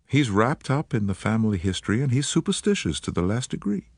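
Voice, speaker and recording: A man voicing a determined American woman of about thirty with an American accent, earnest and quick. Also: fairly low pitch, very animated, breathy edge, no echo, clean studio sound, full character voice.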